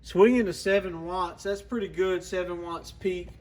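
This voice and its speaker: A man's voice: high voice, fairly even intonation, normal speed. A man hosting a casual hands-on product walkaround, talking in a plainspoken, informal, explanatory way. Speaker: product reviewer and channel host.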